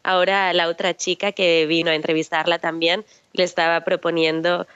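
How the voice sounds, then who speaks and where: A woman presenting a radio programme, explaining things in a relaxed conversational way with some fillers. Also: fairly low pitch, normal speed, smooth, no echo. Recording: dry, dull lo-fi sound.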